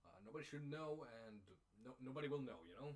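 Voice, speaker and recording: A man on a casual panel, talking haltingly and often repeating his words. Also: medium pitch, expressive. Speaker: panel host, casting and voice directing.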